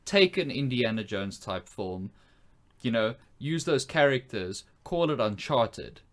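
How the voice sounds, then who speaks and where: A man's voice: mid-range voice, expressive, a little slow, a bit bright. A man co-hosting a casual film podcast, thinking aloud in an informal, tentative way. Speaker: podcast co-host.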